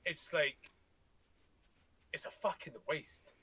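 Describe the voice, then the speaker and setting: A man with a bright, forward voice chats casually on a podcast. His first language is Tagalog, and he rambles, restarts his sentences and swears freely. The sound is dry and close to the mic, with a slightly high pitch. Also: steady tone, slow pace, slightly rough, no room sound. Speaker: podcast co-host. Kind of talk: casual chat.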